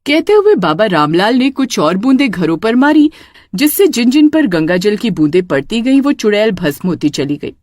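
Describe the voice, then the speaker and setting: Lively woman storyteller, dry studio sound. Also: medium pitch, normal speed, no echo. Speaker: storyteller, narrator. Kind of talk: scripted dramatic story narration.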